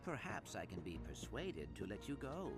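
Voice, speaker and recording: A man's voice: fairly low pitch, very animated, normal speed, vocal fry. A man voicing a small robot secret agent in a video game, formal, precise and drily courteous. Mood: dry, coolly polite. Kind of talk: video game character acting. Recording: loud game music behind the voice.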